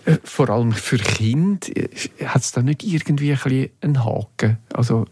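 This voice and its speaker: A man's voice: medium pitch, normal speed, background noise. A man with a Swiss German accent, interviewing in a conversational style as host.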